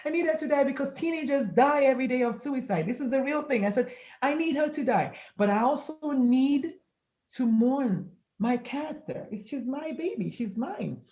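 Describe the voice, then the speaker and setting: A woman in her fifties, an author and pediatrician, telling stories in a casual interview and acting out the dialogue with lively swings. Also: high-pitched, normal speed, roomy sound. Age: 50s. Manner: animated storyteller, acts out quoted dialogue, laughs often.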